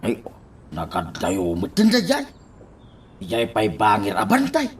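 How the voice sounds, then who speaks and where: A man playing a grumbling, excitable boss in an Ilocano radio drama. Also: on the low side, slow pace, slightly rough, full character voice. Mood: grumbling, protesting.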